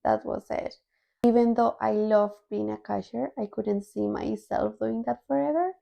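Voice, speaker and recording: A woman's voice: a little high, fairly even intonation, moderate pace, gravelly, mellow. A young adult woman from Colombia, a native Spanish speaker with a Colombian accent in English, telling her own story casually to viewers as a vlogger. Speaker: language-learning vlogger.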